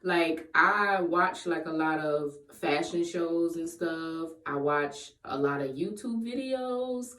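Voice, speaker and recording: A woman of twenty-eight chatting casually in a question-and-answer vlog, playful and quick to laugh. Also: low voice, a bit bright. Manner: playful, laughs often, breaks into sung bits. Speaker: vlogger. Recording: echoey room, voice far from the mic.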